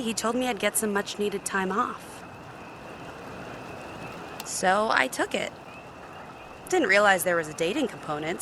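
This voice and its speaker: A woman voicing a jaded genie bartender in a video game. She sounds guarded and sarcastic, with a blunt, casual delivery at a middle pitch, over clear background noise. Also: lightly acted. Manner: blunt, dry, sassy. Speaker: video game romance character. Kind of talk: scripted game dialogue, casual.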